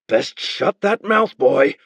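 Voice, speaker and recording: A man voicing a gruff, wily warrior who brushes off a boy's claims. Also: a little high, expressive, slow pace, no room sound, pitched above the performer's own voice, heavily acted. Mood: dismissive. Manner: dry, dismissive. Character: gruff, wily male axe-carrying warrior.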